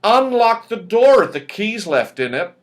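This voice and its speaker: A man plays an excitable magical fellow from a children's storybook. He is eager and emphatic, his raised voice swinging widely in pitch. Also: measured pace. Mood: calling out. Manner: emphatic, exclamatory.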